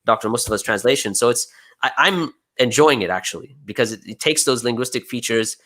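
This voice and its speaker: A man's voice: medium pitch, a little fast, sharp tone. A young American man talking casually on a live stream, chatty and laughing often, full of "you know" and "right". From United States. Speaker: guest speaker.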